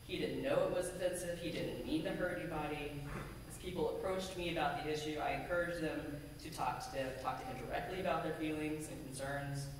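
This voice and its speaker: A woman's voice: low voice, steady tone, distant mic, noisy background. Earnest woman minister preaching in echoey sanctuary. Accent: American. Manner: measured, deliberate, earnest preaching with emphatic lists. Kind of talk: sermon. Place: church sanctuary at a pulpit. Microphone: pulpit microphone, camera at a distance.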